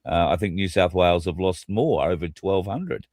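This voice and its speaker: Blunt, low-voiced Australian man. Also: animated, normal speed, darkish tone, no room sound, studio quality. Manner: blunt and profane, reads out viewer comments. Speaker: co-host. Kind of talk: casual chat.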